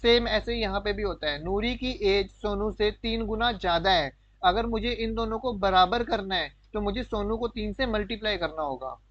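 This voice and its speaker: High-voiced man tutoring maths. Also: moderate pace, a bit bright, dry recording, dull audio. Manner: patient, repeats key points, asks checking questions; mixes English terms into Hindi. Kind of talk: explaining a textbook problem step by step.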